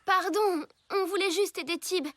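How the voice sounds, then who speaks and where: Very high-voiced earnest young girl. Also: fairly even intonation, moderate pace, a bit bright, dry recording. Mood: apologetic. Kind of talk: French cartoon voice acting.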